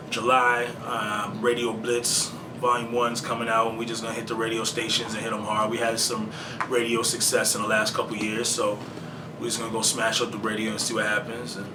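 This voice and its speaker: A laid-back man who talks in loose, profane slang. He sounds set back from the microphone in an echoey room. A loud steady hum runs under his voice. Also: fairly low pitch. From Canada. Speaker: rapper guest. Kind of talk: casual interview talk. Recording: roomy, distant mic with loud background hum.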